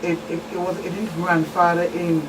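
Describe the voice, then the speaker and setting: A woman recounting cases conversationally as a guest expert, in a halting, deliberate way. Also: lowish voice, little pitch movement. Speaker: police commander, guest expert. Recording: distant mic, clear background noise.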